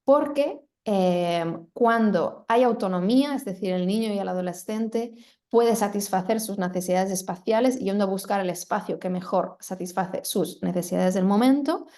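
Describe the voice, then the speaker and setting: A woman's voice: medium pitch, moderate pace, warm tone. A woman moderating a video call, fluently summarizing a presentation. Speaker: moderator and interpreter. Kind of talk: quick Spanish summary of a presentation.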